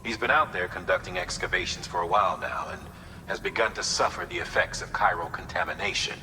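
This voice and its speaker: An actor voicing a grave, commanding operations officer in a video game, giving measured, serious instructions. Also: male voice, deep voice, sharp tone, a little hiss or hum, low-quality recording. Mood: businesslike briefing. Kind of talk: dramatic video game mission briefing.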